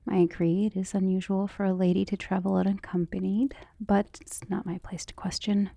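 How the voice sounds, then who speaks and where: A woman playing a devoted, outspoken servant of a noble household in an ASMR roleplay, speaking gently and deferentially in formal phrasing, often slipping into a whisper. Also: mid-range voice, little pitch movement, a little fast, vocal fry, no room sound. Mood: polite, hesitant. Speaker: voice actor in an ASMR roleplay audio drama. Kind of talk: soft-spoken ASMR roleplay acting, often dropping to a whisper.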